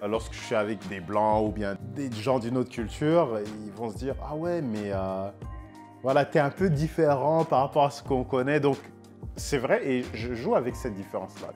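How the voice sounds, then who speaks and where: Documentary interviewee: man from Congo, mid-pitched, thoughtful, with guitar playing behind. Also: lively intonation, normal speed, darkish tone. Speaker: artist.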